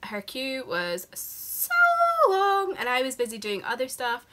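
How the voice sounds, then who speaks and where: A woman's voice: on the high side, sing-song, a little slow, a bit bright. Nasal woman with a cold. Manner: chatty and rambling, many fillers. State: has a cold, sounds nasal. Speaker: book vlogger. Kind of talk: casual chat to camera.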